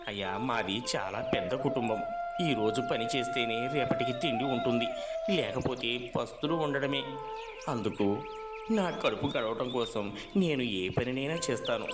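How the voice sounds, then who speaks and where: A man's voice: mid-range voice, huge pitch swings, normal speed. A man voicing a humble, poor young village lad seeking work, deferential and pleading, in a moral story. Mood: earnest. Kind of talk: dramatized moral story dialogue. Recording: music behind the voice. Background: background music.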